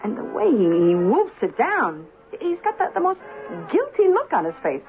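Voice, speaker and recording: Lively, chatty New diner woman. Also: sits high, normal speed. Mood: amused, chatty. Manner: wry, folksy, colloquial. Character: chatty, good-natured working-class diner counter woman. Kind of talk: old-time radio drama acting. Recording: tinny radio recording, faint music.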